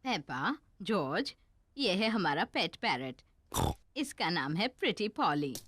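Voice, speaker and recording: A woman voicing a kindly old granny pig in a children's cartoon dub, affectionate and expressive. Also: on the low side, takes it slowly, full character voice. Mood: welcoming.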